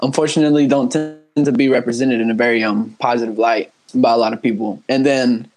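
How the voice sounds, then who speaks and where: A man's voice: mid-range voice, fairly even intonation, brisk, a little mellow, rough recording. A young man in his twenties, raised in Honduras, talking casually and earnestly as a podcast guest, with frequent fillers and restarts. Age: 20s. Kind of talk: casual conversational interview.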